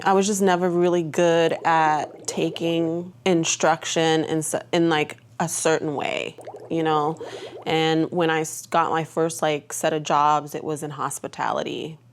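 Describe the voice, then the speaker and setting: A woman from Trinidad and Tobago speaking candidly and conversationally about herself in a reflective documentary interview. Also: deep voice. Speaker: aesthetician and entrepreneur. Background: background music.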